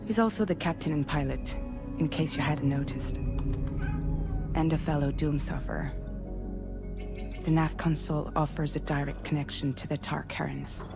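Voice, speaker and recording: A composed female commander in a video game cutscene. Her voice is low, welcoming and resolute as she guides a newcomer, with a prominent score behind her. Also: fairly even intonation, moderate pace, gravelly, long pauses, over music. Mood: composed, explanatory. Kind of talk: cinematic video game acting.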